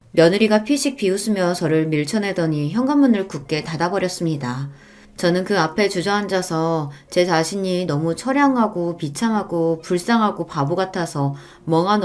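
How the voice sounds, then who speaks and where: A woman's voice: on the low side, darkish tone. A woman reading a scripted first-person story in a polite, plaintive storytelling manner. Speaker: story narrator.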